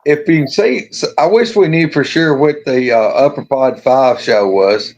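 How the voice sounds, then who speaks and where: A man in his fifties talks casually on a live stream, hesitant and filling his pauses. His voice has a middle pitch. It arrives through dull, lo-fi video-call audio. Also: a little mellow. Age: 50s. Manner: hesitant, fills with "uh". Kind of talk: casual chat.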